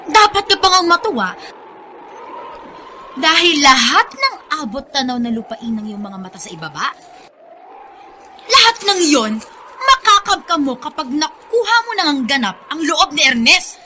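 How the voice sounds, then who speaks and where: A bright, forceful young heiress with a Filipino accent in radio drama style. She is bitter and jealous, with an animated, menacing delivery over faint noise. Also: sits high, normal speed, pauses often, heavily acted. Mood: sly.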